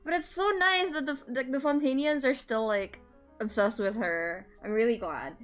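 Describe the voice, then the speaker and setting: Bubbly streamer lady, kinda croaky voice, chatting over game audio. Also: on the high side, moderate pace, fairly bright tone, soft background music. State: slightly hoarse from straining her voice. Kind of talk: casual let's play chat.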